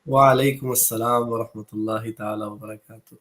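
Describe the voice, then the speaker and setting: Low-voiced hesitant man. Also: fairly even intonation, fast, a bit bright, a little hiss or hum. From Pakistan. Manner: hesitant, with frequent "uh" fillers and restarts. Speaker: guest author. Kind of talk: interview answers.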